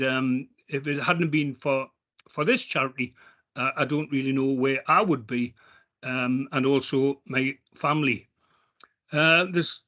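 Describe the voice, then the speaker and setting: A Geordie man speaking from the heart at an online charity talk. His voice sits a little high and sounds bright and forward, and he stops often to restart with ums and uhs. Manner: halting, with many ums and restarts. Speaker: member of a veterans' charity speaking at an online event. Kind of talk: heartfelt spoken appeal.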